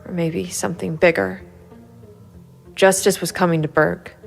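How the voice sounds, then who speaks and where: Brooding, even-toned female private eye, played by an actress. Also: lowish voice, measured pace, vocal fry. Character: hard-bitten female private investigator, brooding, protective loner. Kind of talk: noir first-person narration and audio drama dialogue. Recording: music behind the voice. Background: music.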